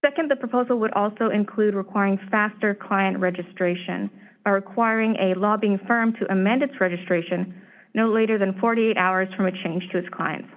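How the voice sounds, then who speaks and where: A woman staff presenter giving a formal, measured briefing on proposed legislation, her voice slightly hoarse. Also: medium pitch. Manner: steady, measured, reads prepared remarks. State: slightly hoarse, clearing throat. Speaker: staff presenter at a commission meeting. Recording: tinny phone-line audio.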